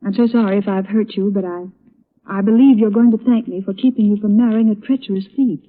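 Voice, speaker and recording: A woman's voice: medium pitch, steady tone, fast, lightly acted. An actress playing a determined, forthright woman investigator, earnest and dramatic, in an old radio drama style. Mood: grave, regretful. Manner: insistent, dramatic. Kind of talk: 1930s-40s radio acting. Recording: muffled, narrow-band old radio recording.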